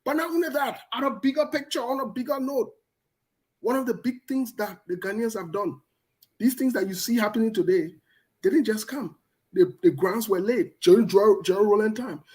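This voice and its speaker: A man's voice: high-pitched, fairly quick, darkish tone, low-quality recording. A man, hosting a political discussion and pressing his points emphatically, often repeating words for stress. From Liberia.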